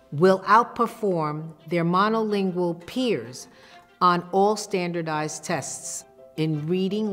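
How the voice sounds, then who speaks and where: A woman educator explaining research in an interview, measured and authoritative. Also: fairly low pitch, long reverb tail.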